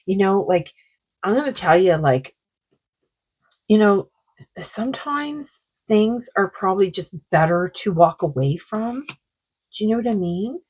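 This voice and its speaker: A woman with a sore throat giving a rambling, casual oracle card reading, full of fillers and slow read-aloud passages. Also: mid-range voice, animated, a little mellow, slightly rough. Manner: rambling, many fillers, reads card texts aloud slowly. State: sore throat, losing her voice.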